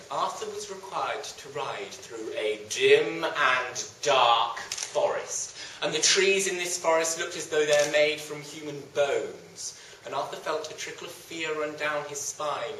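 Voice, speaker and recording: A storyteller giving theatrical scripted narration, with dramatic, drawn-out emphasis. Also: medium pitch, pushed and bright. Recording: echoey room, distant mic, faint noise.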